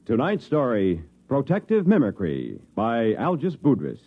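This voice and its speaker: A man's voice: medium pitch, huge pitch swings, a little slow. An American man announcing a 1950s radio drama in a grand, theatrical style. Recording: muffled 1950s radio, loud music and noise.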